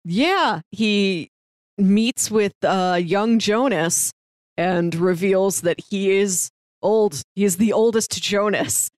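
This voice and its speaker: A woman's voice: mid-range voice, slow, a little mellow, no room sound, clean studio sound. A woman co-hosting a podcast, talking casually through a recap of a TV series. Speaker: podcast co-host.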